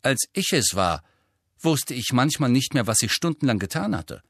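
A man's voice: mid-range voice, sing-song, normal speed, fairly bright tone, husky, no room sound, studio quality, voice pushed up, full character voice. A male narrator voicing a blunt, cool, matter-of-fact younger teenage girl in an audiobook.

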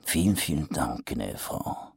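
A tired, sardonic watch captain who grumbles low and husky under his breath, as a male narrator puts him on. His exasperated lines come out dry and half-whispered. It is a storybook reading with a dry, close sound. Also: big pitch swings, measured pace, no room sound, heavily acted. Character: gruff, weary city watch captain, sardonic.

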